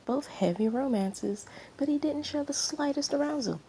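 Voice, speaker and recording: A woman's voice: high-pitched, animated, moderate pace, mellow, pitched above the performer's own voice, rough recording. Woman doing a spiteful flirt, smug, taunting, storybook villainess, faint background noise. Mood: smug, insistent. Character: smug spiteful flirty actress spreading rumors.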